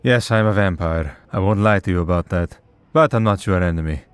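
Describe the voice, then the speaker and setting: An immortal male vampire who hunts his own kind, acted by a man for an audio roleplay. His voice sits low, and he talks in a wry, conversational way with weary patience and dry exasperation. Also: brisk, clear, resonant tone, no echo, close to an everyday voice. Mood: measured, wry. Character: centuries-old male vampire hunter in priest's collar, wry, patient. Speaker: voice actor. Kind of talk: scripted ASMR roleplay.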